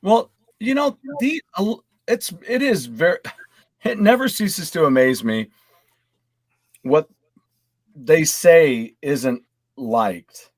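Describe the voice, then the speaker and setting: A man's voice: a little high, lively intonation, slow pace, pauses often, no room sound. Casual man chatting, close dry sound. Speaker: co-host of a gaming live stream. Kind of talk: casual panel chat.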